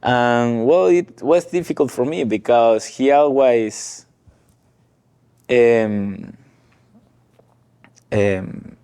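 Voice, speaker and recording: A man in his twenties from Ecuador, speaking English with a Spanish accent as a guest, telling his story slowly and haltingly with little laughs. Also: medium pitch, dark tone, smooth. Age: 20s. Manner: slow, halting non-native English with frequent laughs. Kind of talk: casual interview, telling his life story.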